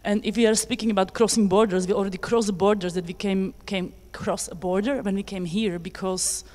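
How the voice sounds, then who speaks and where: A woman's voice: mid-range voice, fairly even intonation, a little fast, a little mellow. A woman from Slovakia, a dancer answering audience questions in English, hesitant and searching for words. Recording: reverberant hall.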